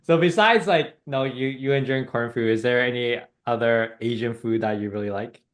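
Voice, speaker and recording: A man's voice: mid-range voice, normal speed. A man hosting a podcast, asking loose, rambling questions and laughing often. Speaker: podcast host. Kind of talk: casual interview.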